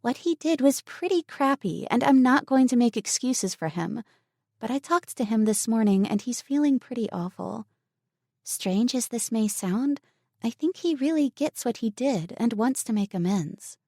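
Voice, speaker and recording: Woman voicing a kind young woman, earnest, gentle, lifted pitch, dry close sound. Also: lively intonation, moderate pace, no room sound. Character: kind, level-headed young woman, compassionate.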